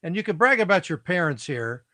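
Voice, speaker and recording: Middle-aged man, slightly high voice, dry close sound, upbeat host. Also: sing-song, sharp tone, no echo. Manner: eager, chatty, quick to react and laugh. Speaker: podcast host. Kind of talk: casual interview conversation.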